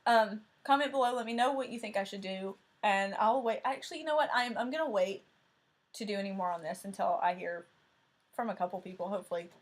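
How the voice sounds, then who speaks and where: A woman's voice: mid-range voice, quick pace. A woman with a thick Southern Tennessee accent chatting casually and rambling a bit as she hosts a crafting vlog. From Tennessee, USA. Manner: chatty and rambling, with frequent fillers and self-corrections. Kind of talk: casual chat about cross-stitch projects.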